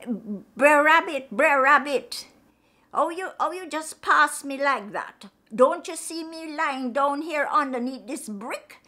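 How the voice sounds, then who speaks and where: A woman's voice: sits high, animated, takes it slowly, pushed and bright, voice pushed up, full character voice. A woman voicing a tricky, dangerous male snake in a folktale, wheedling and drawn out. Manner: wheedling and reproachful, then offhand.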